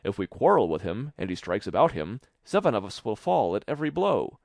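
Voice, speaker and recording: A man's voice: fairly low pitch, sing-song, brisk, vocal fry, a little mellow, no room sound, studio quality. A man voicing grumbling, fearful soldiers in a fairy tale, speaking with anxious emphasis. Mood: worried.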